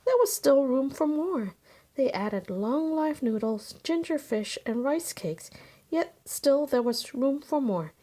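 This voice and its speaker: Woman storybook reader, high voice, scripted narration for children. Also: very animated, takes it slowly, mellow.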